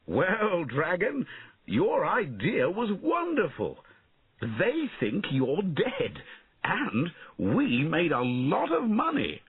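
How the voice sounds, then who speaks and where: A man's voice: medium pitch, wildly swooping pitch, slow, breathy edge, no room sound, phone-quality sound, voice pushed up, heavily acted. A man voicing a proud, money-minded knight in a storybook, bold and emphatic. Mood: triumphant. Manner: emphatic, declaiming. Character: proud, mercenary male knight, bold and disillusioned.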